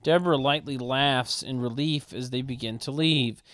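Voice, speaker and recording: A man narrating a comedy table read in a deadpan way, with mocking sardonic asides. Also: mid-range voice, normal speed, dry recording. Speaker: narrator reading stage directions.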